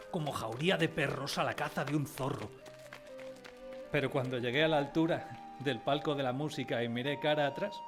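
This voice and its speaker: A man playing a sensitive grown man who recalls his timid boyhood, in expressive, dramatised first-person storytelling. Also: fairly high pitch. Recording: prominent music behind the voice.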